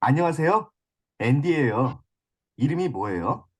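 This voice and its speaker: A woman with a low, husky voice reads her lines of a scripted practice dialogue. She speaks in a deliberate textbook manner, with lively rises and falls in pitch. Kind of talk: scripted dialogue for a listening exercise.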